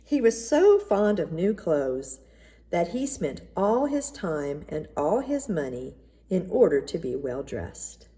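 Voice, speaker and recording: A woman reading a children's story aloud in an expressive, measured narrating style. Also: lowish voice. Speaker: storybook reader.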